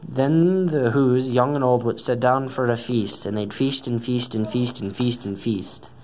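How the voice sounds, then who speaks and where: A man's voice: medium pitch, unhurried, a little mellow. A man reading a children's story aloud, stumbling now and then over the words. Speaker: storybook reader. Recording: noisy amateur recording.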